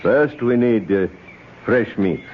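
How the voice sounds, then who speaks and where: A man's voice: mid-range voice, animated, slow. An actor playing a deferential, reserved male camp helper in an old-time radio drama, speaking in a formal, terse way. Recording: tinny, narrow vintage radio recording, faint noise.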